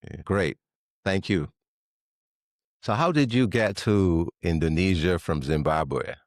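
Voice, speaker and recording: A man hosts a podcast interview and asks his guest questions. His voice is very low, and he speaks in a friendly way with some fillers. The sound is dry. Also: measured pace, no echo. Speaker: podcast host.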